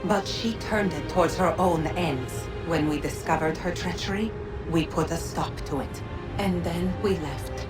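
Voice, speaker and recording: A grave goddess of a fallen civilization, fairly low in pitch. She speaks formally and with stern regret, each phrase measured, over game music. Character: grave, regretful female ancient godlike being, stern and pleading. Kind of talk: dramatic video game acting.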